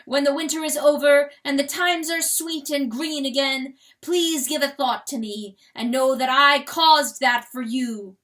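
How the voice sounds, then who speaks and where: A woman's voice: high-pitched, measured pace, hardly any pauses, fairly bright tone, pitched above the performer's own voice. A woman voicing a noble male rooster lord who speaks in ringing, declamatory tones. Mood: solemn farewell. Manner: ringing, declamatory crowing speech.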